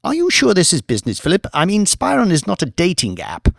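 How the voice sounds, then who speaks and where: A man playing an excitable, high-pitched gloating scientist. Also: fast, vocal fry, dry recording, studio quality, heavily acted. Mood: arch, teasing. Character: eccentric, gleeful scientist plotting disease, fussy and rambling. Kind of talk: audiobook character voice.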